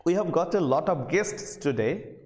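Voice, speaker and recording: A man's voice: fairly high pitch, expressive, moderate pace, darkish tone. A man with a Bangladeshi accent giving a live English grammar lesson as a lecturer, addressing students and often repeating himself. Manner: repeats phrases and questions, calls out names. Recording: very echoey room, long reverb.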